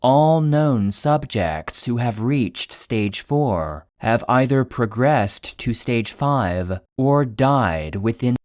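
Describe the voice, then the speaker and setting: A man narrating a scripted fictional case file for a podcast in a measured, serious, clinical manner. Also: medium pitch, lively intonation, a little mellow, no room sound, clean studio sound.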